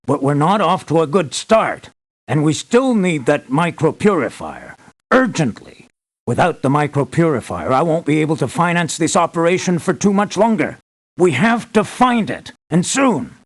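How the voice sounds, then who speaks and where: An actor playing an earnest, measured male leader giving formal, deliberate briefings in a scripted video game. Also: fairly high pitch, very animated. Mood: urgent, concerned. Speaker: mission briefer.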